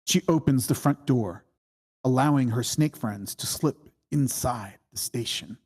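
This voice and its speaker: Slow, husky screenplay narrator. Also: medium pitch, darkish tone. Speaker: screenplay reader. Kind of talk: reading screenplay stage directions at a table read.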